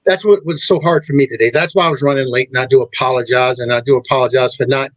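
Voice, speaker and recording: A middle-aged man hosting a talk show, speaking in a rambling, halting way with many restarts. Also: mid-range voice, steady tone, dry recording, low-quality recording. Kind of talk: casual chat.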